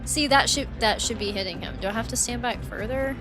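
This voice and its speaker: A woman's voice: on the high side, a little fast. Chatty woman streamer, voice wearing dry. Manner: chatty, rambling, frequent "like" and "um". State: voice getting dry and worn from long talking. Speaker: game streamer. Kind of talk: casual livestream chat while playing. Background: game music.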